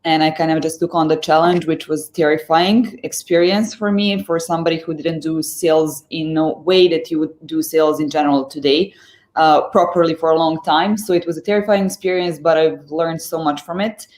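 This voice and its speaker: A young woman from Serbia talks with a clear Serbian accent in a slightly low voice. She is a guest on a live stream over a video call, speaking fluently but filling pauses and restarting her phrases. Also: fairly even intonation, a little mellow. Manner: fluent, many fillers and restarts.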